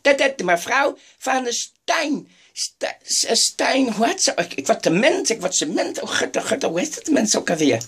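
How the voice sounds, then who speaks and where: Brief: woman voicing a forgetful old lady fronting a microwave cooking show, slightly high voice, rambling and sighing to herself. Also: big pitch swings, normal speed, a bit bright, husky, heavily acted. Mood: doddering. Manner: rambling, forgetful, full of sighed "oh gut"s. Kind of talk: comedic improvised sketch.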